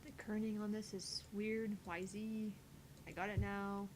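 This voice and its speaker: A woman's voice: medium pitch, little pitch movement, unhurried, a little hiss or hum. A woman co-hosting a gaming video, chatting casually while playing.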